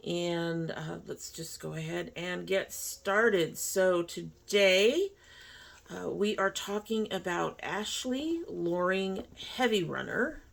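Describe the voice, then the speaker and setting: A woman's voice: fairly low pitch, slow pace, creaky. A woman hosting her own channel in a chatty, informal way, sounding stuffy and sniffly from a sinus cold. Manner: chatty and informal, apologetic asides. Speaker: host. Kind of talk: casual talk-through while doing makeup.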